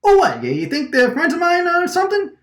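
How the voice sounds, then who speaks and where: A woman plays a brash vacuum-faced wiseguy from a radio drama, pitched high and swinging wildly. He is agitated and indignant, stammering and hammering on his phrases. The recording sounds like an echoey room. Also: huge pitch swings, a little fast, exaggerated voice. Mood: defensive, annoyed. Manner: agitated, stammering, repeating lines emphatically. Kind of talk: radio drama line takes, gangster-movie wiseguy delivery.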